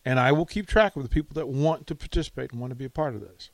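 A man's voice: mid-range voice, very fast, no echo. A man hosting a radio show in casual chat, often repeating phrases for emphasis. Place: radio studio.

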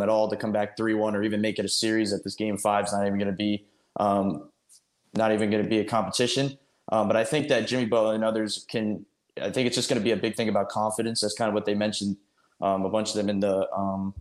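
Low, flat-toned man in echoey room. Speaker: panelist. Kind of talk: casual sports analysis. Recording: roomy, echoing sound.

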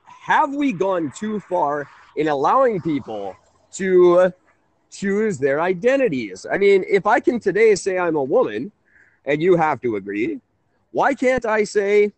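A man's voice: high-pitched, very animated, unhurried, dry recording. A man in his thirties hosting a casual call-in radio talk show, rambling and correcting himself. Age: 30s. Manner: rambling, chuckling, self-correcting.

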